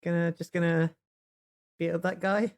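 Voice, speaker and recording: Casting: woman tabletop player, slightly low voice, hesitant, casual improvised roleplay. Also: level tone, moderate pace. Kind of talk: casual in-character improvised chat.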